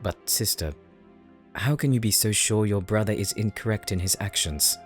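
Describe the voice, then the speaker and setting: A man's voice: on the low side, normal speed, close to an everyday voice. Guy playing a snooty nerdy paladin, formal n precise, condescending, audio roleplay w music behind. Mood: solemn. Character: proud, bookish male inventor-paladin, haughty elder brother. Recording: voice over background music.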